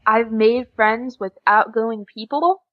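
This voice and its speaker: A woman's voice: a little high, slow, muffled recording. A young American woman chatting casually and openly as a guest in an interview. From USA. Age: young adult. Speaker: podcast guest, exchange student.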